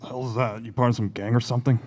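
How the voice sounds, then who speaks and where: A young man plays an offhand store worker in an amateur radio play. His mid-pitched voice is casual and everyday. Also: steady tone, quick pace, a little mellow, lightly acted. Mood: offhand, puzzled. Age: young adult.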